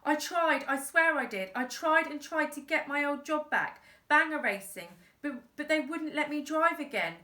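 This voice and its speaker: A woman's voice: high voice, animated, moderate pace, few pauses, bright, forceful tone, roomy sound, voice pushed up. A woman voicing an anxious, meek father who pleads. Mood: pleading.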